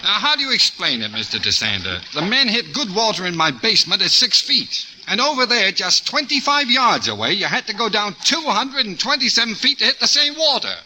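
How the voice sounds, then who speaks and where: A man's voice: high voice, sing-song, brisk, few pauses, sharp tone, a little hiss or hum, exaggerated voice, poor audio. An actor playing an exasperated ad man and new homeowner in a 1940s radio play, full of sardonic quips.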